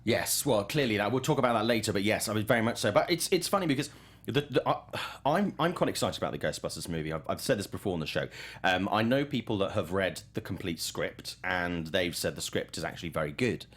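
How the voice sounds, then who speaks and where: A man's voice: on the low side, animated, quick pace, a bit bright. A man hosting a casual panel chat about films as a journalist. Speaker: talk show host and film journalist.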